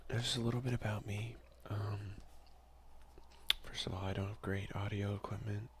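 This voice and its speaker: A man speaking softly, at times in a breathy half-whisper, in a casual, hesitant ASMR let's play on a close headset mic. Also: lowish voice, steady tone, moderate pace, noisy background. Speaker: gaming ASMR video creator. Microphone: close headset boom mic.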